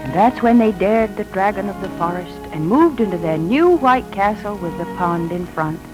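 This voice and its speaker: A woman's voice: medium pitch, expressive, normal speed, over music, close to an everyday voice, rough recording. An actress playing a devoted, emotional adoptive mother in the earnest style of 1940s radio drama. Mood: tender storytelling. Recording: old 1940s radio recording.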